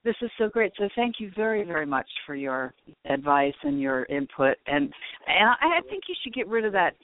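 A woman's voice: fairly low pitch, sing-song, moderate pace, dry recording. A woman calling in to a radio show, chatty and grateful, laughing often. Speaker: caller. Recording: tinny phone line.

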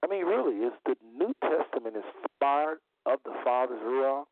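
A man hosting a religious call-in show in a deliberate, repetitive way, his voice slightly under the weather. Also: mid-range voice, expressive, no room sound. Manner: deliberate, repeats phrases for emphasis. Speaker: talk show host. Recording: phone-like internet radio audio.

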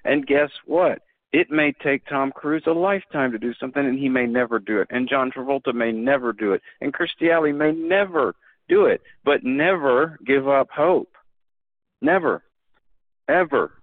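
A man's voice: medium pitch, normal speed, no room sound. A man talking as a podcast guest, earnest and emphatic, often restarting his sentences. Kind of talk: casual conversation. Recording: dry phone-like line.

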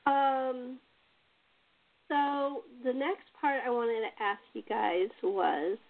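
An American woman from Los Angeles hosting a casual chat in a rambling, repetitive way over tinny phone-like audio. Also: a little high. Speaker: host and playwright.

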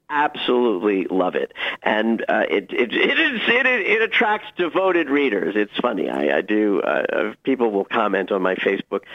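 Male astrologer guest, enthusiastic and emphatic, very lively intonation, slightly muffled recording. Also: medium pitch. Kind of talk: radio interview, conversational advice.

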